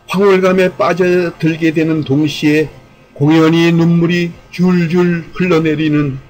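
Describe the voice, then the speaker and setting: A man narrates a prepared commentary in a somewhat high voice. His intonation stays very flat and even. The phrasing is formal and measured throughout. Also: low-quality recording. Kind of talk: scripted narration of a fan commentary.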